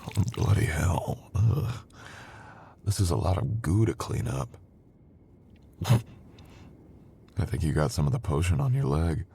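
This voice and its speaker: Man playing a very deep, roguish sorcerer boyfriend. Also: expressive, slow, long pauses, mellow, slight background noise, heavily acted. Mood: grumbling. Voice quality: very deep, gravelly.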